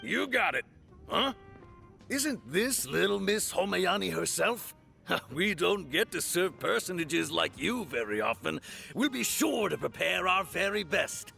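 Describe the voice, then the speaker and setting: An actor playing a jovial, hearty tavern keeper in a game, with a gravelly voice. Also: male voice, sits high, big pitch swings, measured pace, sharp tone, soft background music, full character voice. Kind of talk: game voice acting.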